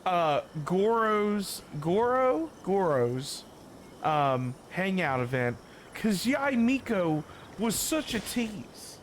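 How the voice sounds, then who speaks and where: Lively rambling male game streamer. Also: high-pitched, slight background noise. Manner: rambling, halting, repeats words. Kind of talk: casual live stream commentary. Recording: game music behind the voice.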